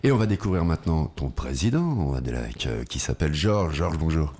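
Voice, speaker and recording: A man hosting a casual radio interview in a studio, friendly and quick to laugh. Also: very deep voice, very animated, dark tone. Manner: friendly, asks short questions, laughs often. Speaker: radio host.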